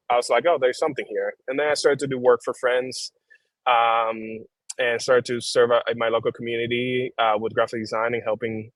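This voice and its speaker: A man in his thirties, a company founder, answering questions in a casual video call interview, halting and restarting often and switching between English and Spanish. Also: mid-range voice, no room sound, rough recording. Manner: relaxed, halting, many fillers and restarts. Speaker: founder and creative director, guest.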